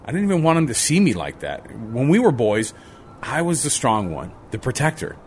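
Man acting homeless addict, ashamed and gentle, halting confessional delivery, widely swinging pitch, faint background noise, radio drama. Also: sing-song, natural voice. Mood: regretful.

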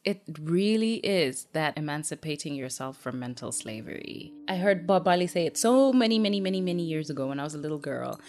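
Low-voiced woman from Kenya, earnest. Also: expressive, a little fast, few pauses, darkish tone, soft background music. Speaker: podcast guest. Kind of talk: interview answers.

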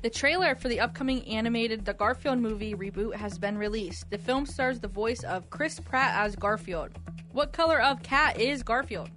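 A woman with a fairly high voice reads quiz questions on a radio show, adding light banter. She is close on a studio microphone, with music clearly playing behind her. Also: normal speed, a bit bright. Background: music bed.

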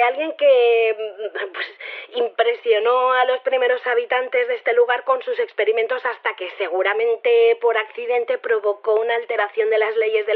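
Actress doing an earnest flustered lady, kinda high voice, stammers while arguing, tinny phone radio sound. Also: close to an everyday voice. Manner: hesitant, stammering, argumentative. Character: Sofía, earnest flustered believer in a mountain-god community theory. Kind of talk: naturalistic audio drama interview.

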